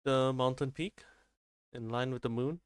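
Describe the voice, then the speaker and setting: A man's voice: medium pitch, fairly even intonation, a little slow, smooth, no room sound, studio quality. A man livestreaming a game, chatting casually and informally, often thinking aloud and repeating his phrases. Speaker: game streamer. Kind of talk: casual livestream gameplay chat.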